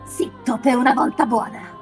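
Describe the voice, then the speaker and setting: A woman's voice: a little high, fairly even intonation, normal speed, faint music behind, close to an everyday voice. A woman voicing a haughty, furious young noblewoman, indignant and petulant, in a dramatic visual novel reading.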